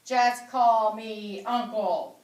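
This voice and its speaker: Woman playing a loud, hearty, cocky storybook pig, echoey. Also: fairly even intonation, very slow. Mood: hearty, jokey. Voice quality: hearty, booming. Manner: familiar, noisy, joking. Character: big, hearty, cocky male pig, jokey and over-familiar. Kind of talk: children's storybook reading. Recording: roomy echo, distant microphone.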